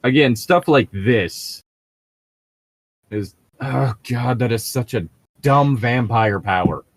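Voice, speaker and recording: Exasperated, sweary man with an animated delivery. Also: mid-range voice, slow pace, dry recording. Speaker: co-host of a comedic group read-along. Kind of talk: casual riffing and reading aloud with friends.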